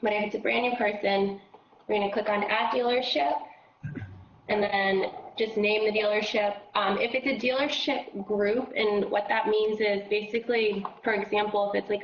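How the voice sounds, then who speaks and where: Woman trainer, froggy voice, casual explainer; roomy, muffled call audio with faint hiss. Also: mid-range voice. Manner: casual, filler-heavy explaining. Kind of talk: casual screen-share training.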